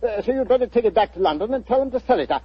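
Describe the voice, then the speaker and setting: A flustered, stammering gentleman. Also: very high-pitched, animated, racing, slightly rough, no echo, exaggerated voice. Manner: stammering, indignant. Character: flustered, nervous country gentleman, man. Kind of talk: 1940s radio acting. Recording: dull old radio recording.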